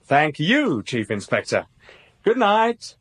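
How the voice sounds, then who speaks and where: Pushy male crime reporter, high voice, very animated, insistent questions, dogged, radio drama. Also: breathy edge, heavily acted. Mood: eager, persistent. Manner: insistent, rapid-fire questioning.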